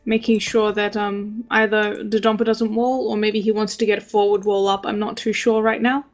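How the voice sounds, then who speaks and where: A woman's voice: medium pitch, flat delivery, moderate pace. A woman giving live, conversational commentary on a video game match. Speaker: esports match caster.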